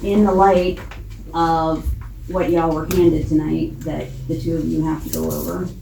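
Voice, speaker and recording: A woman's voice: low-pitched, little pitch movement, measured pace, dark tone. A plain-spoken American woman chairing a council meeting as its president, using informal parliamentary procedure. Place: indoor council chamber. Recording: echoey meeting room with clear background noise.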